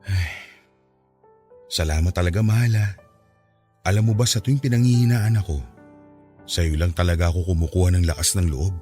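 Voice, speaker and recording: Brief: actor as a low-voiced farmer husband, earnest and loving, soft music bed. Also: male voice, fairly quick, long pauses, close to an everyday voice. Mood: apologetic. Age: middle-aged. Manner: earnest, warm, apologetic. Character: hardworking middle-aged farmer husband, strict but loving. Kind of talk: radio drama acting.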